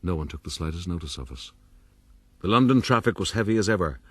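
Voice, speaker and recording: An actor playing a proud, roguish gentleman who narrates his own story in a florid, boastful, rueful way for a period radio drama. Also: low-pitched, expressive, fast, slightly rough, no echo. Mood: measured recounting.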